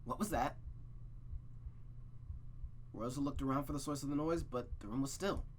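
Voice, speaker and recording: A man's voice: mid-range voice, normal speed, a little hiss or hum. A man reading a visual novel aloud in a suspenseful storytelling style, with playful, casual asides.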